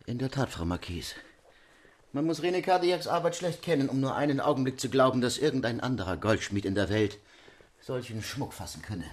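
A man's voice: medium pitch, expressive, a little fast, pitched above the performer's own voice, lightly acted. A man voicing a proud, eccentric master goldsmith of old Paris in a storybook reading, with haughty, formal, old-fashioned speech.

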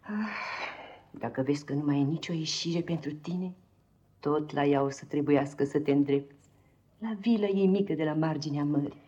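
A woman playing a devoted, motherly nursemaid in a 1950s radio drama, tender and emotional, with a husky voice. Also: low-pitched, warm tone. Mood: gentle, advising. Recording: dull, muffled 1950s radio recording.

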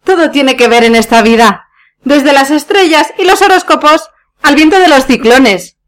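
A woman's voice: sits high, expressive, normal speed, a bit bright. A woman from Spain acting a scornful, sardonic wife in a radio play farce, with a cutting manner and a Spanish accent. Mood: dismissive.

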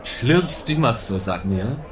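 A man's voice: mid-range voice, unhurried, a little hiss or hum, natural voice. A man playing a hesitant, pompous, grumbling bridegroom in an amateur comedy radio play. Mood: stiff, grumbling. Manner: hesitant, stiffly formal, animated. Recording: homemade radio play with music behind.

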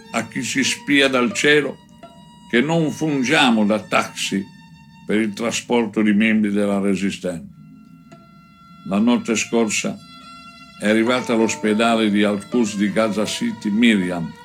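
A man reading a first-person chronicle aloud in a measured, deliberate way, with frequent pauses between phrases. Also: fairly low pitch. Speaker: reader of a book chapter. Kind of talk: narrated audiobook-style reading of a first-person war chronicle.

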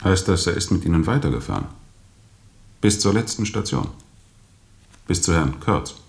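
An actor playing a composed questioner in a radio drama, drawing out testimony with terse, formal, insistent prompts. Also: male voice, sits low, big pitch swings, moderate pace, creaky, full character voice. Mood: probing.

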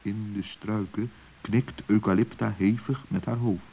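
A man's voice: on the low side, fairly even intonation, unhurried, gravelly, warm tone, breathy edge. A man narrating a children's radio play as a storyteller, reading from a script. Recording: dull old radio recording.

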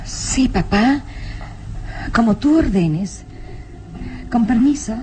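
High-voiced, earnest young daughter. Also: female voice, expressive, takes it slowly, a little mellow, slight background noise. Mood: submissive. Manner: earnest, pleading. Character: anxious, pleading, dutiful young daughter of baron. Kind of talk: old radio drama acting.

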